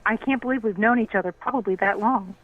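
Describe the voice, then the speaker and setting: A woman's voice: mid-range voice, fast talker. Woman in her fifties on a phone-like line. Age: 50s. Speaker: podcast guest. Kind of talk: casual chat, personal recollection. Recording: thin, phone-like call audio.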